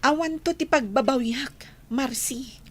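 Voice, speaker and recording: A woman's voice: high voice, unhurried. A woman acting in a radio drama as a firm young woman rejecting a suitor, with a Filipino Ilocano accent. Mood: defensive.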